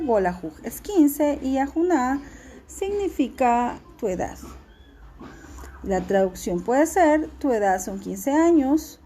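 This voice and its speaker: A woman teacher, giving a recorded class lesson in a clear, instructive way and repeating key words slowly. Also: high-pitched, dark tone, a little hiss or hum.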